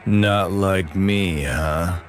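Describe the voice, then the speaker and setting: An actor playing a gruff, proud mercenary in a video game, terse and wry. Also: male voice, deep voice, extremely slow, a little hiss or hum, heavily acted. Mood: offended. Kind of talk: dubbed video game cutscene acting.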